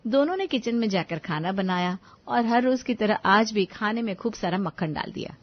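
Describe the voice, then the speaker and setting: A woman's voice: mid-range voice, lively intonation, moderate pace, highs cut off. A woman reading scripted narration for a moral story as its narrator.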